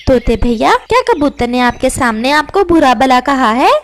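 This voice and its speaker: A woman voicing a sensible, gentle female little bird who soothes and reasons in a children's cartoon story. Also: high-pitched, expressive, normal speed, voice pushed down. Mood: concerned, reasoning. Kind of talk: animated children's moral story dialogue.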